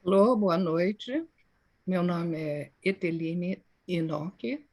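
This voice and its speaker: A woman's voice: fairly low pitch, takes it slowly, a little mellow. An older woman with a Brazilian accent, introducing herself formally and courteously as a doctor at an online event. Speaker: panelist, public health doctor.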